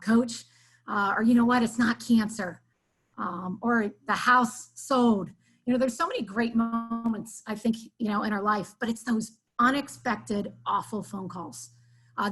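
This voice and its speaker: A woman's voice: fairly high pitch, a bit bright, husky. Woman coach, heartfelt and reflective, filler-heavy delivery, video call sound. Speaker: softball coach. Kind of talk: panel remarks over video call.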